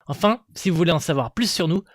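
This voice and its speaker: High-voiced man presenting an outro. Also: lively intonation, quick pace, no room sound, clean studio sound. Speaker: presenter of the audio series. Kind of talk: upbeat scripted outro addressing listeners.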